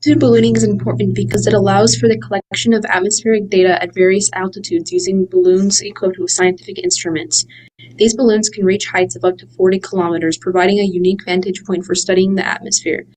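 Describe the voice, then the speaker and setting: A teenage girl student reading a steady, explanatory part of a science presentation. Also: medium pitch, quick pace, slight background noise, poor audio. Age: teens.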